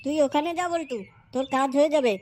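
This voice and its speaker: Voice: sits high, steady tone, quick pace, a little mellow, natural voice. A performer playing a crude, cocksure young male friend handing out advice in a comic cartoon. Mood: assured, advising. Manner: crude, advising.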